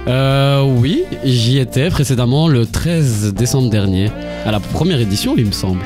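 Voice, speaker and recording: A man's voice: medium pitch, normal speed, lightly acted. A coy, teasing woman violinist who drops hints and answers questions without revealing much, as a male radio co-host plays her in his own everyday voice. Music plays behind the voice.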